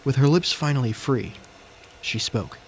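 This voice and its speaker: A man giving scripted first-person dramatic narration in deliberate, short phrases. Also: medium pitch. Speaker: narrator and character of an audio story. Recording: faint background noise and ambience.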